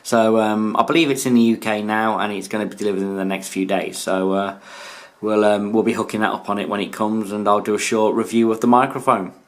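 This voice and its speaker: A British man casually presenting a hobby video in a hesitant, halting way. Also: fairly low pitch, fairly even intonation. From United Kingdom. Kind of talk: casual product showcase narration. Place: indoors in a room.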